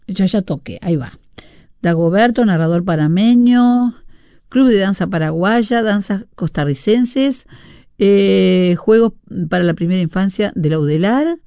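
A woman's voice: medium pitch, dark tone, no echo. A middle-aged woman with a Uruguayan Spanish accent hosting a casual radio show, chatting and reading out listener messages with frequent fillers and asides. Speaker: radio host.

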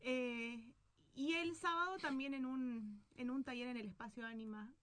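Female guest, Bolivian accent, fairly high voice, casual conversational interview style. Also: steady tone, moderate pace, fairly bright tone. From Santa Cruz, Bolivia.

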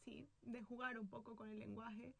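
A woman's voice: on the high side, little pitch movement, normal speed, vocal fry. A woman with a Bolivian accent speaking as a guest poet in a casual, conversational interview. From Santa Cruz, Bolivia.

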